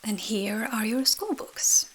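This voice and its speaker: Woman as a gentle but stern fairy, unhurried. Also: fairly high pitch, slightly rough, rough recording. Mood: kindly firm. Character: kind but stern female fairy, calm and maternal. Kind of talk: bedtime storybook character voice.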